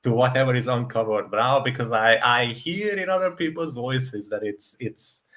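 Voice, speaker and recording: Man, medium pitch, livestream panelist, casual banter, theatrically emphatic. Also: normal speed, a bit bright.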